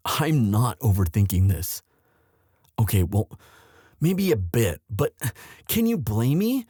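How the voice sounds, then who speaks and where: A man playing an anxious, protective young prince in love, speaking earnestly and haltingly in a romantic roleplay audio drama. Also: on the low side, sing-song, warm tone, breathy edge, no room sound, studio quality, heavily acted. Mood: conflicted, earnest. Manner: earnest, halting, tender delivery.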